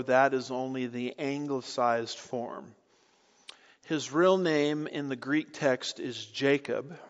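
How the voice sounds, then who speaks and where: A man teaching through a Bible book in a deliberate way, with rhetorical questions and light asides. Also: medium pitch, fairly bright tone. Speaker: pastor. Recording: dull audio with long hall reverb.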